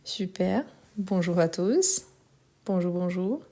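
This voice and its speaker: A woman teaching French in a live online lesson, speaking slowly and clearly and repeating phrases for learners. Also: lowish voice, lively intonation, dark tone. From France and Brazil.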